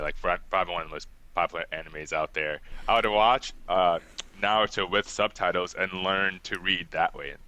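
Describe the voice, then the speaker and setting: Low-voiced young man, dry and bright. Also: clean tone, poor audio. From Ghana. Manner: relaxed and chatty, laughs often, many "like" fillers. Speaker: guest. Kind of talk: casual interview talk.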